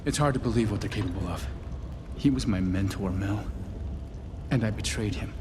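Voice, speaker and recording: An actor playing an earnest, idealistic young inventor turned city councilor in an animated drama, intense and resolute. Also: male voice, lowish voice, moderate pace, background noise, natural voice. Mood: troubled. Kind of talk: dramatic animated series dialogue. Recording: dialogue over clear background music. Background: music.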